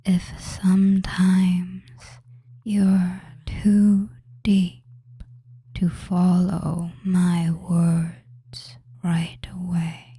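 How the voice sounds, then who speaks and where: A person whispering a soothing hypnosis roleplay in ASMR style as a doting, controlling fantasy witch, with drawn-out phrases and pauses. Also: mid-range voice, dark tone. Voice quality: breathy, mostly unvoiced whisper.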